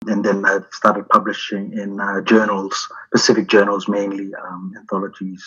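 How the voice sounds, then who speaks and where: A man from Fiji, talking with many pauses and fillers about his life and poetry in an interview. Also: fairly low pitch, monotone, bright, forceful tone, slightly rough. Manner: halting, many fillers and restarts. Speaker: poet. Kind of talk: interview, then poetry reading. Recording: dull lo-fi sound.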